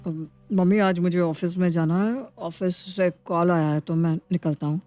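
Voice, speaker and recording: A woman's voice: lowish voice, fairly even intonation, normal speed, warm tone, dry recording, faint music behind, natural voice. A woman voicing a grumpy, self-pitying young husband who complains his way through a domestic story. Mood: evasive. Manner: complaining, dismissive.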